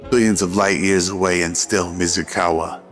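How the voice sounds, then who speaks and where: A man's voice: sits low, flat delivery, moderate pace, fairly bright tone. A man narrating a scripted noir radio drama. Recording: music behind the voice.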